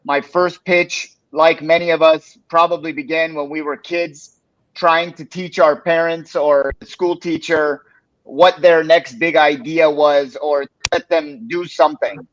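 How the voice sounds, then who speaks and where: A man, casually presenting a pitching workshop on a live stream, with plenty of "uh" and "you know.". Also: measured pace, no echo, highs cut off. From Los Angeles, United States. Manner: relaxed and conversational, with frequent "uh" and "you know".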